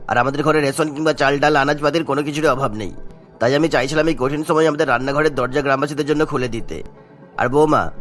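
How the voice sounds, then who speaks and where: A man's voice: fairly high pitch, fairly bright tone, lightly acted. A man voicing a kindly, troubled old village headman and father in a cartoon. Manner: earnest, caring. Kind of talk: animated moral-tale dialogue.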